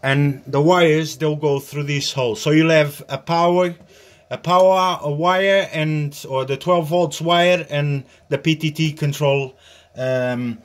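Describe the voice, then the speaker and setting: A man giving an informal hands-on demonstration as a tutorial host, speaking in a halting, hesitant way. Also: on the high side. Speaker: host of a hobby radio tutorial. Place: small indoor workbench area.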